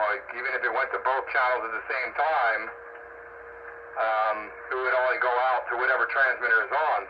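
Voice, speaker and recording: A man in a casual technical chat over radio, thinking aloud informally and going back over his points. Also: mid-range voice. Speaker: radio hobbyist asking for advice. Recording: dull, noisy lo-fi radio transmission.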